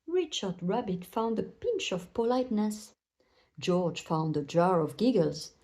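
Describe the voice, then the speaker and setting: A woman's voice: mid-range voice, expressive, a little slow, mellow. A woman narrating a children's bedtime story aloud, telling it expressively for young listeners.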